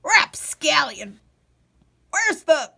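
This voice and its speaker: A woman voicing a cranky, impatient eight-hundred-year-old man in a storybook, croaking and hollering indignantly. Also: high voice, big pitch swings, extremely slow, pushed and bright, pitched above the performer's own voice, full character voice. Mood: demanding.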